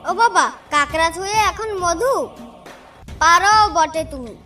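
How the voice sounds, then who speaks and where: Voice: very high voice, a little slow, bright, forceful tone, far from the mic, faint music behind, exaggerated voice. A performer voicing a curious, stubborn and anxious little village boy in a cartoon, full of insistent questions. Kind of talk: cartoon story dialogue.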